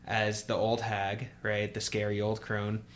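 A man co-hosting a casual podcast, talking in a halting, informal way with lots of conversational hedging words. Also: lowish voice, completely flat delivery. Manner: halting, informal, heavy use of "like" and "you know". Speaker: podcast co-host. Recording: faint hum and background hiss.

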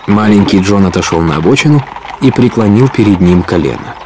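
A man narrating a Russian audio drama as the storyteller of an adventure tale, in a measured manner. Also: on the low side, expressive, background noise, close to an everyday voice. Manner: measured, clearly told storytelling.

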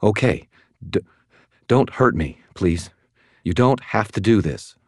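A man voicing a frightened, pleading woman with a thready, breathy voice in an audiobook. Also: medium pitch, expressive, normal speed, darkish tone, dry recording, studio quality, pitched above the performer's own voice, lightly acted. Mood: terrified. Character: frightened, pleading adult woman, timid but indignant.

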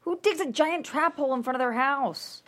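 An actress playing an exasperated, sarcastic wife in a script table read, delivered dryly. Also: female voice, high-pitched, normal speed, close to an everyday voice. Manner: dry, sarcastic. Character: exasperated, sarcastic wife on an amateur bounty hunt.

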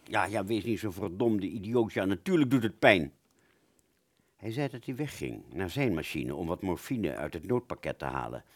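A man playing a wounded British fighter pilot, telling his story in a radio play with a husky voice and halting, stammering delivery. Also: sits low, expressive. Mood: dazed, pained. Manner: halting, stammering, repeats words. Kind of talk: first-person dramatic narration in a radio play.

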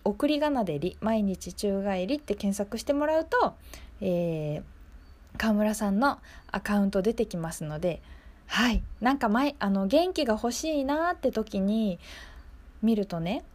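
A woman's voice: medium pitch, darkish tone. A woman hosting a local radio show in a casual, chatty, conversational way.

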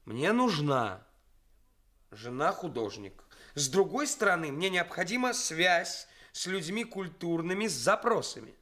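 An actor playing a brazen young stage actor who poses as a cynical swindler fiancé in a Soviet radio play, pompous and deliberate with emphatic pauses. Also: male voice, fairly high pitch, sing-song, sharp tone, heavily acted. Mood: smug, calculating. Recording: studio radio play with music.

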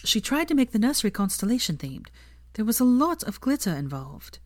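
A prim, earnest young expectant mother in audiobook dialogue , acted by a woman reader. Her voice sits lifted and soft, with a light lilt and an awkward warmth. The sound is close and dry. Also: a little high, sing-song, brisk, no room sound, pitched above the performer's own voice. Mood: amused.

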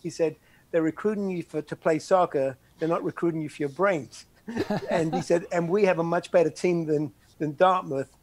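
An older man, a former soccer player recalling his college years in a halting, reflective interview. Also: a little high, darkish tone, no room sound. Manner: halting, many restarts and "you know".

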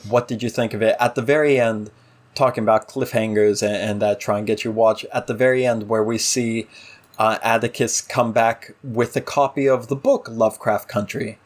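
A man's voice: on the low side, fairly even intonation, moderate pace. A man co-hosting a casual discussion show, giving opinionated, exasperated critique in a conversational way. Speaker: podcast co-host.

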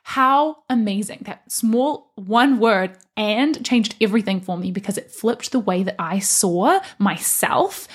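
A woman's voice: fairly high pitch, husky. A young adult woman hosting a solo podcast, talking as a life coach in an earnest, encouraging and emphatic way. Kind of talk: solo motivational podcast talk.

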